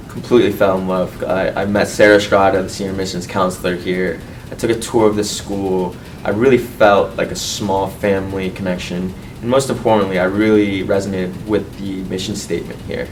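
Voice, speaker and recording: Male student, twenties, earnest and halting testimonial, fairly low voice, off-mic sound with faint noise. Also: fairly even intonation, recorded from a distance. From Colombia, raised in California. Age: 20s. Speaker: medical student and university board representative. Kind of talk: personal testimonial to camera.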